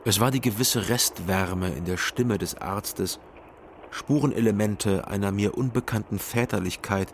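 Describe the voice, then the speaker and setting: A man's voice: fairly low pitch, measured pace, slight background noise. An actor playing a detective in his thirties, narrating a radio crime drama in a dry, reflective way. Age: 30s. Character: thirty-year-old sober, lonely private detective narrating. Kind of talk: scripted first-person radio drama narration. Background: music.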